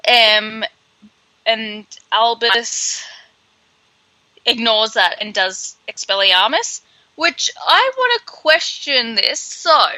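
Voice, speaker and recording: Very bright, dry-sounding woman chatting. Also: a little high, expressive, takes it slowly, dry recording, low-quality recording. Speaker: podcast co-host. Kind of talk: casual book read-along chat.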